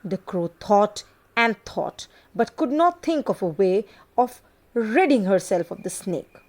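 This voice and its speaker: A woman narrating a children's bedtime folk tale in an unhurried, expressive storytelling manner. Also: medium pitch.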